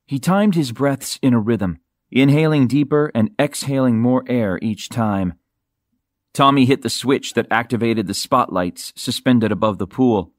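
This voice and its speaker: A man with a mid-pitched voice narrates a science fiction novel. He articulates carefully and tells it in a measured, dramatic way. The recording is close and dry, with no room sound. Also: no room sound. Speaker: audiobook narrator.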